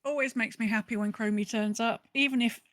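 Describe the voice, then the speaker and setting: Chatty woman with a higher-pitched voice. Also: steady tone, a little fast, a bit bright, dry recording, studio quality. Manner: chatty, playful, reads out listener messages. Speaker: podcast co-host. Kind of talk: casual chat.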